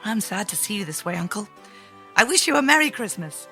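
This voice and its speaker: A woman voicing a cheerful, warm-hearted young man, hearty and earnest, in a storybook reading. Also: mid-range voice, sing-song, brisk, soft background music.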